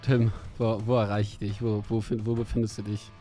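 Mellow-voiced young man rambling casually. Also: fairly low pitch, little pitch movement, brisk, faint music behind. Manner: rambling, many fillers, laughs often. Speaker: podcast co-host. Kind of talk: casual chat. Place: in Paris, remote from co-host.

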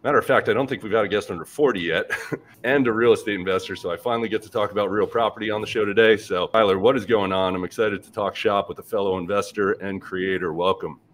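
A man's voice: on the low side, fairly even intonation, fast, a little hiss or hum. A man in his forties hosting a podcast, upbeat and enthusiastic as he introduces and questions his guest. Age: 40s. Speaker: podcast host.

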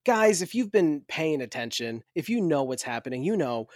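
A man's voice: on the high side, big pitch swings, moderate pace, a little mellow, dry recording, clean studio sound. A man co-hosting a podcast in casual banter, crude and self-mocking, laughing often. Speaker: podcast co-host and author.

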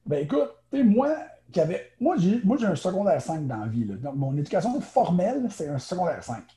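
Bright-voiced Quebec French man, chatty. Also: high-pitched, sing-song. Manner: chatty, quick, many fillers and restarts. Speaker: guest, naturopath. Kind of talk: casual interview talk.

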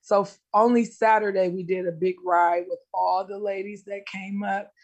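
A woman guest speaking on a community radio show over a video call. Her voice is slightly raspy, and she answers in a friendly, upbeat way. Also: mid-range voice, steady tone, moderate pace, a little mellow. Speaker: guest, small business owner. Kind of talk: interview answers.

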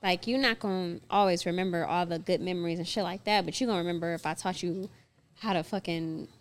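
A woman's voice: fairly low pitch, steady tone, quick pace. A woman with an American accent co-hosting a casual chat, speaking in a plain, slangy, conversational way. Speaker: podcast co-host.

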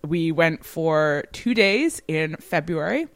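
A woman co-hosting a podcast, her voice sitting low for a woman. She chats loosely, full of fillers and frequent laughter. The sound is dry and close. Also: animated, takes it slowly, dry recording. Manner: laughs often, chatty with fillers. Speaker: podcast co-host. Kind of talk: casual chat.

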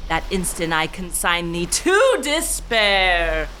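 A woman's voice: fairly low pitch, sing-song, slow, bright, forceful tone, a little hiss or hum, full character voice. A woman playing a commanding, menacing sea demon witch, declaiming verse theatrically in a gothic audio drama.

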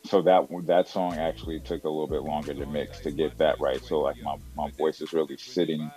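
A man with an extremely low, dark voice talks loosely about his own songs, padding his sentences with "you know" and "like". His tone is mellow rather than sharp, and faint music sits under him. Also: flat delivery, fairly quick, rough recording. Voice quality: very deep voice. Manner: rambling, filler-heavy with "you know" and "like". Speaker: rapper, interview guest.

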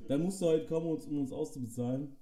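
A young man co-hosting a casual podcast chat, talking in slangy, relaxed street speech and joking around. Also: fairly high pitch, fast, far from the mic, crowd noise. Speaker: podcast co-host. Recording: echoey room, set back from the mic.

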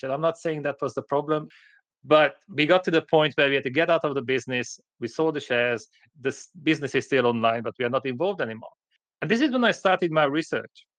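A man's voice: medium pitch, a bit bright, no echo. A man from Hungary with a Hungarian accent, talking as an interview guest in short, plain-spoken, direct sentences. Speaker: podcast guest, company founder. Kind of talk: conversational interview storytelling.

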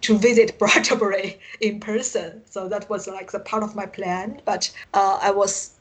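A woman from China with a Chinese accent, a native Chinese speaker telling stories as an interview guest in halting English, searching for words and often chuckling. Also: medium pitch, fairly even intonation, breathy edge. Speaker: interview guest, science fiction writer and translator. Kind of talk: casual interview storytelling. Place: remote internet call.

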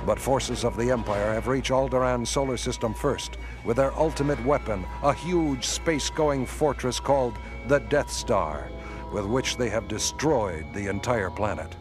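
A man narrating a radio drama from a script in a measured, dramatic manner. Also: mid-range voice, music in the background, natural voice.

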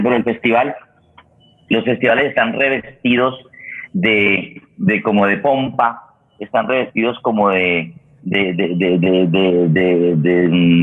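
A Colombian man hosting a conversational interview, speaking reflectively and haltingly as he searches for words. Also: fairly low pitch. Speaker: radio host and theater practitioner. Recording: thin phone-like line.

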